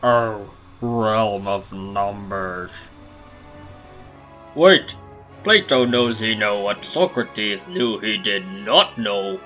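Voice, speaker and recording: A man's voice: fairly low pitch, animated, extremely slow, long pauses, pushed and bright, faint music behind, heavily acted. A man voicing a brutish, simple-minded Plato as a caveman-like strongman who talks bluntly in broken grammar. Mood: dim wonder. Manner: broken grammar, blunt, third-person speech.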